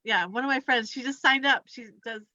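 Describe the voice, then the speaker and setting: Bright-voiced woman guest on lo-fi call. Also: on the high side, a little fast, no echo. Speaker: guest, chiropractor. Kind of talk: casual chat. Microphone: video call. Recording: dull, lo-fi call audio.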